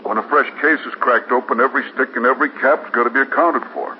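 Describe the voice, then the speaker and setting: A man's voice: medium pitch, fast talker, close to an everyday voice. A man playing an earnest, rule-quoting American construction-site watchman in a 1950s radio drama, explaining things in a slightly defensive way. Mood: explanatory. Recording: muffled, noisy 1950s radio recording.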